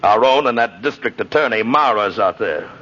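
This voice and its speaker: A man's voice: on the low side, animated, pushed and bright. Pompous, dithering male judge, muffled. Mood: nervous, pleading. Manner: hesitant, pompous, deliberate. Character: flustered, politically anxious male courtroom judge, cautiously official. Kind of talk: 1950s radio drama acting. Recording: muffled, noisy vintage radio recording.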